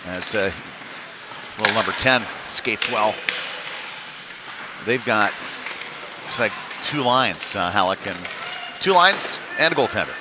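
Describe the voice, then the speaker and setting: A man giving live play-by-play of youth hockey in a conversational, folksy manner, with pauses between calls. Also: lowish voice. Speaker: play-by-play commentator. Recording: noisy live rink feed.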